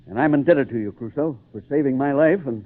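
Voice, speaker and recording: An actor playing a grateful, dignified sailing-ship captain with halting, theatrical delivery in a radio drama. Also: male voice, mid-range voice, close to an everyday voice. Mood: amazed, grateful. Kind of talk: 1940s radio drama acting. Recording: tinny phone-like old radio recording.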